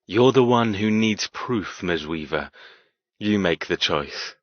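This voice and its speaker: Arrogant, menacing love interest for audiobook romance: low, controlled, taunting, in a dry, slightly dull recording. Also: male voice, lively intonation, slow pace, no echo. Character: cold, cruel, arrogant young man, menacing love interest. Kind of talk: audiobook character dialogue.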